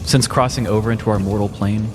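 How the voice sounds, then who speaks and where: A man's voice: on the low side, steady tone, fast, loud music behind the voice. A man podcast host reading a scripted comedic story in a mock-dramatic way.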